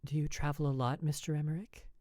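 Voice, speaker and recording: A man voicing a sad, gentle young married woman who speaks softly and hesitantly. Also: a little high, flat delivery, quick pace, dry recording, studio quality, pitched above the performer's own voice, natural voice. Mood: polite. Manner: soft and hesitant.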